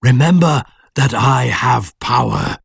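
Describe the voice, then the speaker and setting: A man voicing a bitter, vengeful monster in an audiobook, slow, threatening and commanding. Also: medium pitch, creaky, husky, dry recording, studio quality, exaggerated voice. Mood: commanding, menacing.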